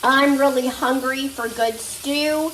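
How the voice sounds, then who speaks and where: A woman's voice: high voice, steady tone, slow, a bit bright, a little hiss or hum. A woman chatting casually to viewers while she cooks in her home kitchen, a little nasal as she gets over a fever. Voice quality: slightly nasal. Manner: chatty, talks to viewers as "guys" while working at the stove. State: recovering from a fever. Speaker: cooking vlogger. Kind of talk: casual chat while cooking. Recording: echoey kitchen, mic a bit far off. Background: sizzling meat in a skillet.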